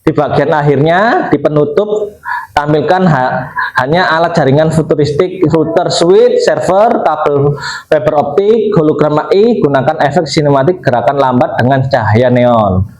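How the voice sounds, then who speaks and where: A man's voice: fairly high pitch, animated, normal speed, darkish tone. A male teacher giving a classroom lecture, explaining step by step and asking and answering his own questions. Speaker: vocational school teacher. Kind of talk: classroom lecture with screen demonstration. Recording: roomy echo.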